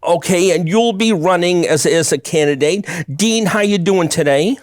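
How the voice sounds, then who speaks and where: A man hosting a local cable access interview, halting, with many ums and restarts. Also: high voice, no echo, studio quality. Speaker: host. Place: small TV studio.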